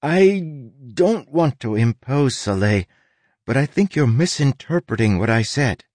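A man's voice: mid-range voice, lively intonation, measured pace, darkish tone, dry recording, studio quality, pitched above the performer's own voice. A man voicing a timid, earnest young island girl in an audiobook, hesitant and apologetic.

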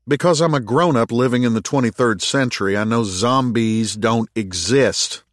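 A man voicing a gruff, skeptical moon colony worker in an audiobook, with blunt, sardonic retorts. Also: medium pitch, moderate pace, dry recording, studio quality, natural voice. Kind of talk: audiobook character dialogue.

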